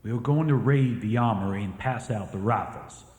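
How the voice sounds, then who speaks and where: An actor playing an earnest, devoted Confederate prisoner plotting escape in a radio drama. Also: male voice, on the low side, normal speed, darkish tone, close to an everyday voice. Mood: subdued. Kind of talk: radio theatre acting. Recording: echoey hall reverb, faint noise.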